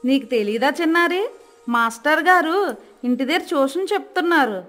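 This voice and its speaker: High, bright, chatty village auntie acted by a woman. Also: lively intonation, normal speed, soft background music. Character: helpful, chatty village aunt. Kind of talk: animated moral story dialogue.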